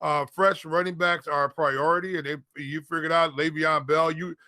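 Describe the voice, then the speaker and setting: A man's voice: on the high side, steady tone, bright, forceful tone, dry recording, clean studio sound. An American man chatting casually as a fantasy football analyst, often repeating words as he works through his thoughts. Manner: halting, repeats words and phrases. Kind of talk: casual sports chat.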